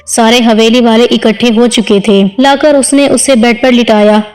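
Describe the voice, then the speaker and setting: A woman's voice: a little high, steady tone, normal speed, a little mellow. A woman reading a scripted romantic drama story as its narrator.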